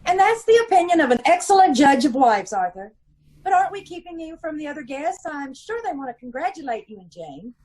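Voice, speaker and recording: A witty, teasing wife from an old-time radio detective comedy played by a woman. Her voice is high and her banter arch and knowing. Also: sing-song, a little fast, a little mellow, rough recording.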